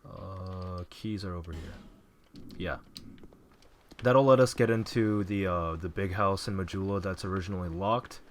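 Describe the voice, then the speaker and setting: Low voiced guy quietly mumbling thru a game playthrough, bit of hiss behind him. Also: a little slow. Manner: relaxed, rambling, frequent fillers. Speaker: let's play gamer. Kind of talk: casual gameplay commentary.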